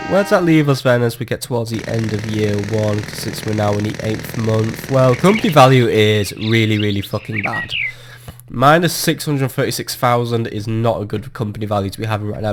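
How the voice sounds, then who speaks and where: A chatty man talks through his gameplay in a loose, joking way, now and then swearing at his own luck. His voice is still a little off from a recent cold, and a steady noise sits underneath the recording. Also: medium pitch. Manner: chatty, self-mocking, swears when annoyed. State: recovering from a cold. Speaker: gameplay commentator. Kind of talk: casual let's-play commentary. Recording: audible background noise.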